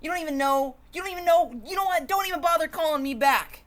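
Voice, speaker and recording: A woman's voice: high-pitched, fast, voice pushed up, natural voice. A woman voicing a grumpy, touchy sour grape in a children's storybook, snappy and emphatic. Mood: snappy, indignant. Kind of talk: first-person children's storybook read-aloud.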